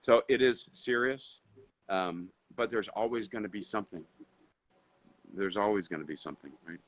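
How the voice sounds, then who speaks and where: A man's voice: fairly low pitch, normal speed. A man who grew up in Indonesia, with Indonesian as his first language, talking as a guest entrepreneur in a casual live interview over a video call. He rambles, with many fillers and restarts.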